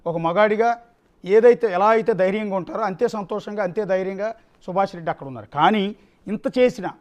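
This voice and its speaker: A male news anchor with a distinctly high voice. He comments live and off the cuff, driving points home by repeating his phrases with emphasis.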